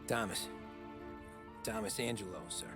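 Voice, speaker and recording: Music sits behind a man playing a young American cabbie in a game cutscene. His lowish voice is polite and restrained, with quiet determination. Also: steady tone, a little slow, close to an everyday voice. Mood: wary, respectful. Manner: deferential, restrained. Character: earnest, polite, determined young male cab driver. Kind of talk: video game cutscene acting.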